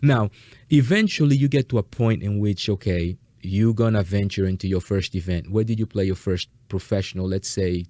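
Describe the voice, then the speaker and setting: A man's voice: fairly low pitch, moderate pace, mellow, dry recording. A man hosting a conversational interview, wordy and rephrasing his questions as he goes. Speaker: interviewer, host.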